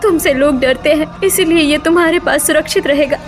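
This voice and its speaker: Dying mermaid mom lady putting it on, high pleading cartoon voice, desperate, faint music. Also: brisk, natural voice. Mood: desperate, tearful. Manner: pleading, urgent.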